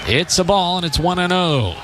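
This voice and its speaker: A man calling live baseball play-by-play on the radio in measured, clipped phrases with pauses between them. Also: high voice, animated, noisy background. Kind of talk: live radio sports commentary.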